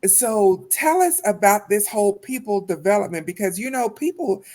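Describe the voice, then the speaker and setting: A woman's voice: medium pitch, animated, moderate pace, darkish tone. A woman hosting a podcast interview, speaking with enthusiastic, affirming encouragement toward her guest. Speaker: podcast host and educator.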